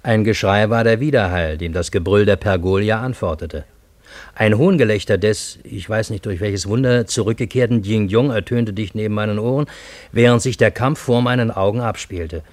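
Man as low-voiced, measured radio play narrator. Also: a little mellow, no echo, natural voice. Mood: wondering, ironic. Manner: measured, literary. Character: bewildered, ironic male storyteller recounting fantastic events. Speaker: first-person narrator.

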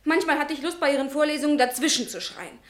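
An actress in a radio play playing an ardent, headstrong young student who speaks with passionate challenge. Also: female voice, sits high, expressive, fast talker. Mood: teasing. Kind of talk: German radio drama acting.

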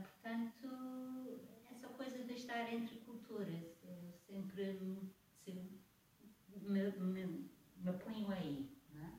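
A woman's voice: medium pitch, a little mellow. Thoughtful English-born woman, echoey room. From England. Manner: thoughtful, hesitant, often asking "não é?". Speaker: academic host. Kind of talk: casual conversation. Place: theatre garage space. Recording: roomy echo, distant mic, faint noise.

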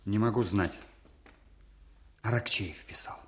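An actor playing a dutiful, uneasy military courier with formal, stiff address in a radio drama. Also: male voice, lowish voice, takes it slowly. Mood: grave, hushed. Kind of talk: Soviet-era radio drama acting.

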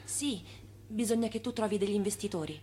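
An actress in a radio play playing the doubting, long-suffering wife of a schemer, with pointed questions. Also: female voice, mid-range voice, fairly quick, mellow, natural voice. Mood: wary, resigned. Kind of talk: theatrical radio play dialogue.

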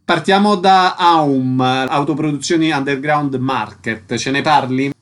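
A man's voice: medium pitch, measured pace, a bit bright. A man hosting a radio interview, presenting guests and events and asking short questions.

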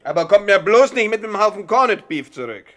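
Ein Schauspieler spielt einen herrischen, ungeduldigen Bandenchef in einer Krimikomödie im Radio, knapp, kommandierend und spöttisch. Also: male voice, sits high, sing-song, fairly quick, fairly bright tone. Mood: commanding. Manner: commanding, curt, mocking. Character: gruff, bossy male gang boss, impatient and scornful. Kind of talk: 1960s German radio crime comedy acting.

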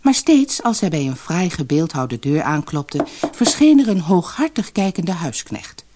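A storyteller reading a scripted fairy tale for an audiobook, with expressive, dramatic emphasis. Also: mid-range voice, a little slow, noisy background.

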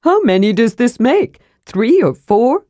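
A woman's voice: a little high, very animated, measured pace, dark tone, no echo, studio quality, pitched above the performer's own voice. A woman voicing a small male kender adventurer in a fantasy audiobook, eager, inquisitive and chatty. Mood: chatty, questioning.

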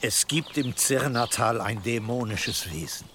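An actor playing a nervous, obsequious cleric in a medieval radio drama, deferential, hesitant and stammering under pressure. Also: male voice, mid-range voice, animated, measured pace, fairly bright tone, slight background noise. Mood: anxious, pleading. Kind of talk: German radio drama acting in a medieval setting.

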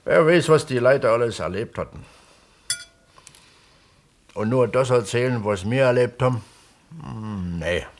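A male actor plays a modest middle-aged villager in a radio drama. He speaks in plain, simple words with a halting, thoughtful delivery. His voice sits at an ordinary male pitch. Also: a little hiss or hum, natural voice. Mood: hesitant, earnest. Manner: halting, plain-spoken, hesitant. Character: modest, thoughtful resettled villager who wrote a novel. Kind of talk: naturalistic radio play dialogue. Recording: 1970s East German studio radio drama.

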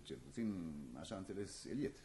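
An actor playing a dignified eminent author in a radio play, answering in a formal, terse, evasive manner. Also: male voice, sits low, expressive, mellow. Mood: curt, dignified. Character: dignified, curt eminent author, master of the house.

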